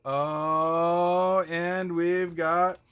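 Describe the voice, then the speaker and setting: A man's voice: fairly high pitch, little pitch movement, drawn-out words, a bit bright, clear, resonant tone. A man casually hosting a playful show for children, chatting about toys in a goofy, theatrical way with silly exclamations. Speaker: children's show host and teacher. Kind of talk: casual playful chat for kids, showing toys.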